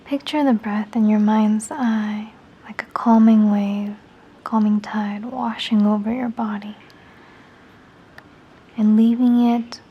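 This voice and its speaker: A woman leading a guided meditation in a soft, soothing, unhurried way, often close to a whisper. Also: mid-range voice, steady tone, husky, lo-fi sound.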